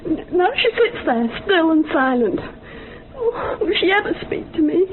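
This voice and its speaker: A woman's voice: very high voice, very animated, moderate pace. A woman playing an emotional, doting and reverent yoga teacher with a theatrical manner in a radio drama, on a tinny recording. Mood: distressed. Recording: tinny band-limited radio recording, faint noise.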